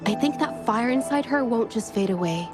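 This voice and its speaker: A woman playing a gentle, earnest young woman painter in a video game, speaking softly and sincerely. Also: on the high side, lively intonation, moderate pace, natural voice. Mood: heartfelt, reflective. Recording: music behind the voice. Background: background music.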